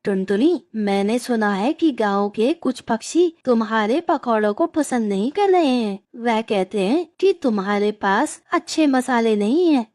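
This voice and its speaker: Cartoon crow, woman performer: caring male friend, slightly high voice, earnest, close dry recording. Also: expressive, measured pace, hardly any pauses, a little mellow, no echo. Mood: concerned. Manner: earnest, helpful. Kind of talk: cartoon moral story dialogue.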